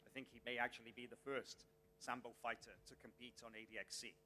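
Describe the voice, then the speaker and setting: Male host, bright, forward tone, formal fighter introductions. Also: medium pitch, level tone, quick pace. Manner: formal, announcer-like delivery. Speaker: event host. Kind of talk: press conference introductions.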